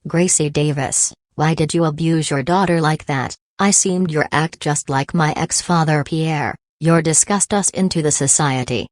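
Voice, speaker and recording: A man's voice: a little high, moderate pace, hardly any pauses, no echo, clean studio sound, pitched above the performer's own voice, close to an everyday voice. A man voicing a disgusted young female witness in a raised put-on voice.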